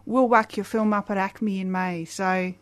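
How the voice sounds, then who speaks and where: A woman with a loud voice, a guest on a radio interview, talking eagerly with many restarts and fillers. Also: medium pitch, fairly even intonation, unhurried. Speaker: guest, community festival organizer.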